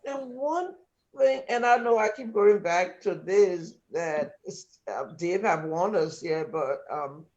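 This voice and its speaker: Man with north american accent, earnest panelist, slightly high voice, dull lo-fi sound. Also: slow, darkish tone. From Liberia. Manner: earnest, emphatic, some restarts. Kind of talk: discussion panel.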